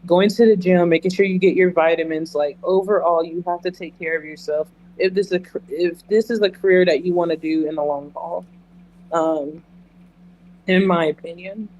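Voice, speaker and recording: Male interview guest, high-pitched, candid and emphatic, conversational delivery over audible background hum. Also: steady tone, moderate pace, darkish tone, lo-fi sound. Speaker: interview guest, emergency dispatcher. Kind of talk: earnest conversational interview answers.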